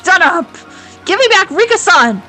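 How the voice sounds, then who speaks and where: A woman's voice: extremely high pitch, sing-song, normal speed, bright, forceful tone, soft background music, exaggerated voice. A woman voicing a grief-crazed teenage girl, shrieking and sobbing in repetitions. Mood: frantic rage.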